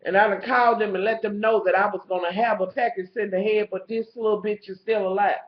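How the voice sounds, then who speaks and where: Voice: mid-range voice, steady tone, fast talker, echoey room. A TV show reviewer with a stuffed-up nose, recapping an episode in gossipy, profane storytelling and calling the viewer honey. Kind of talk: casual episode recap vlog. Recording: slightly muffled lo-fi recording.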